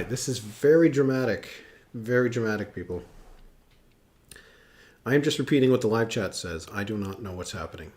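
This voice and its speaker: Low-voiced man thinking aloud. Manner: musing, chatty, thinks aloud with many fillers. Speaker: political analyst hosting a livestream. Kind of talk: casual live analysis reacting to chat.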